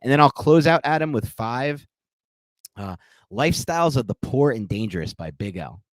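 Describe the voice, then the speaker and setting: A man's voice: medium pitch, lively intonation, moderate pace, no room sound. A man co-hosting a podcast, chatting casually and enthusiastically, laughing often. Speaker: podcast co-host.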